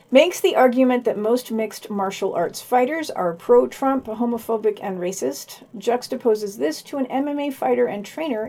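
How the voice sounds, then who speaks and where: A woman's voice: medium pitch, mellow. A woman hosting a podcast, reading a scripted news digest and dropping in casual asides.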